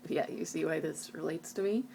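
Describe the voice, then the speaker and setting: A woman's voice: deep voice, brisk, creaky, mellow, a little hiss or hum. A woman talking casually and reflectively in a personal vlog, candid and introspective, often qualifying what she says. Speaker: video blogger.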